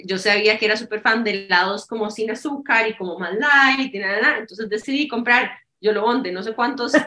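A woman's voice: fairly high pitch, sharp tone. A woman co-hosting a podcast, telling a story in an easygoing way. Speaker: podcast co-host. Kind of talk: casual podcast chat. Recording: echoey room.

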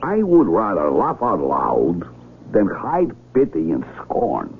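An actor in a radio drama playing a scornful, bluntly honest blind village doctor who farms, with a mocking manner. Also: male voice, deep voice, wildly swooping pitch, slow, creaky, breathy edge, faint music behind, heavily acted. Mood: scornful, dismissive. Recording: tinny vintage radio broadcast with hum.

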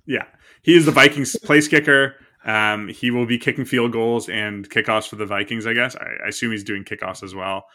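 A man's voice: mid-range voice, steady tone, normal speed, pushed and bright. A man co-hosting a podcast, talking about football in a loose, rambling way with lots of fillers. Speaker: podcast co-host. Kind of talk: casual sports chat.

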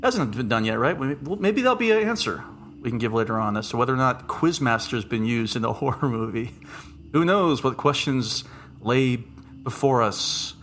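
A man with a deep voice hosting a casual podcast chat, joking and rambling off into asides. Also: lively intonation, moderate pace, a bit bright, slight background noise. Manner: jokey, rambling, with frequent asides. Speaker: podcast host.

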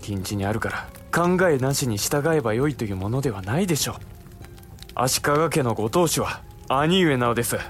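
An actor playing a samurai lord's level-headed younger brother in a Japanese period audio drama, speaking politely and formally, in an admonishing way. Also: male voice, mid-range voice, expressive, fairly quick, soft background music, close to an everyday voice. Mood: urgent admonishing.

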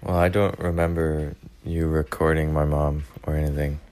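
Very deep, flat-toned young man. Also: normal speed, clear, resonant tone, no room sound. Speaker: interviewee. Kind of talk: casual interview.

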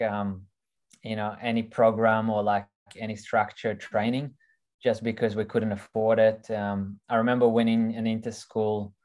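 A man in his thirties, from Ukraine and raised in Israel and Australia, with a mixed, hard-to-place accent, talking in a relaxed, easygoing way as a podcast guest. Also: lowish voice, normal speed. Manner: relaxed, easygoing, many ums. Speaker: guest, runner. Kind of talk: casual interview chat.

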